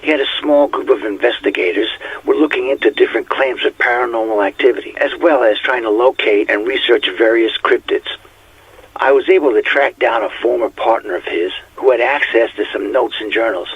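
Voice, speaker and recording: Man acting a husky, world-weary detective. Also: medium pitch, a little fast, dry recording, lo-fi sound. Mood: grim, investigative. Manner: reflective, confiding, world-weary. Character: weary haunted male police detective nearing retirement. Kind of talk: audio drama recorded-journal monologue.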